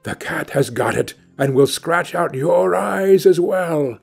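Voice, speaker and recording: Guy doing a wicked old witchy woman from a fairy tale, high pitched and mocking, music playing behind. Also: lively intonation, slow pace, vocal fry, darkish tone, husky, heavily acted. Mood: cruel mocking. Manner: mocking, exclamatory. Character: wicked old enchantress, cruel, mocking, venomous. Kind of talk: storybook character voice.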